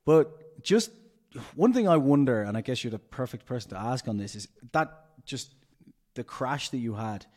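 Brief: male host, mid-pitched, chatty and upbeat. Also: expressive, normal speed, darkish tone. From Ireland. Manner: chatty, upbeat, rambling questions. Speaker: podcast host. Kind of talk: casual interview.

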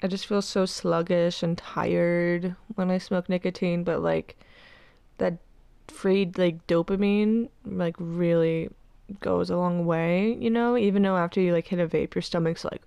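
A young woman in her twenties hosts her podcast in a loose, rambling way, leaning on "like" constantly. Her nose sounds stuffed up, and a gravelly vocal fry runs under a smooth voice. The sound is dry, with no room echo. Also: fairly low pitch, fairly even intonation, unhurried, warm tone. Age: 20s. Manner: rambling, peppered with "like". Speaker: podcast host. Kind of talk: casual chat.

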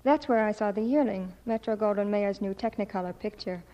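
A woman's voice: mid-range voice, a little fast. A young woman from Ohio, a guest starlet giving a scripted 1940s radio interview and testimonial. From United States, Ohio. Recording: dull, reverberant old radio broadcast.

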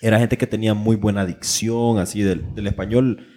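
A Honduran man with a fairly low voice chats casually as a podcast co-host. His speech is loose, slangy and sprinkled with swearing. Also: steady tone, moderate pace, warm tone. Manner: slangy, profane. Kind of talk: casual chat.

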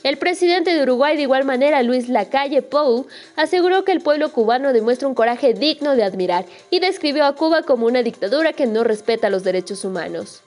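A woman's voice: sits high, animated, brisk, few pauses, darkish tone, soft background music. A woman narrating a scripted news report.

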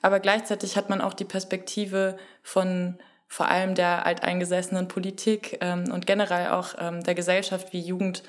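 A woman in her twenties joins a panel discussion in a low, flat voice. She hesitates often and fills her pauses. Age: 20s. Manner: hesitant, many fillers. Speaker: law student, panelist. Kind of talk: casual discussion.

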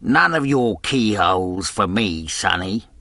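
A man's voice: on the low side, animated, unhurried, vocal fry, sharp tone, dry recording, exaggerated voice. Man doing a sly, mock-polite old pirate. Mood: sly, wheedling. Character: sly, menacing male old pirate shipmate.

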